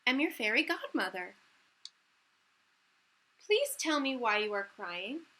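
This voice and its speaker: A woman voicing a kindly, brisk little fairy godmother in a storybook, giving emphatic instructions with drawn-out words. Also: fairly high pitch, big pitch swings, pushed and bright, heavily acted.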